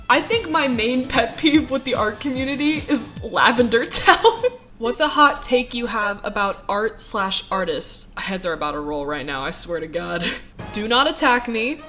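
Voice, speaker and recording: A woman's voice: mid-range voice, bright, forceful tone. A 21-year-old woman and animation student answering fan questions in a casual Q&A vlog. She is blunt and opinionated, laughs often and swears. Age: young adult, 21. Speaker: animation student and YouTube artist. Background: video game music.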